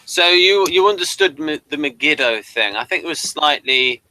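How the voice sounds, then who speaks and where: British male co-host, bright tone, dry sound, informal and meandering reviewer. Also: a little high, animated, moderate pace, no echo, rough recording. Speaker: podcast co-host. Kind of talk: casual review discussion.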